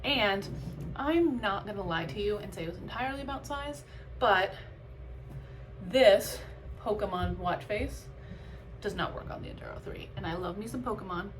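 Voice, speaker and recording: Woman, mid-pitched voice, casual vlog chat, reflective, emphatic bursts, noticeable background noise. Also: lively intonation, normal speed. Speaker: vlogger and amateur runner.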